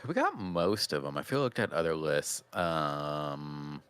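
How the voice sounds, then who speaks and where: A man's voice: a bass voice, sing-song, unhurried, a bit bright, smooth, dry recording, clean studio sound. A man, chatting casually as a podcast co-host in a laid-back, matter-of-fact way. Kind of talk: casual chat.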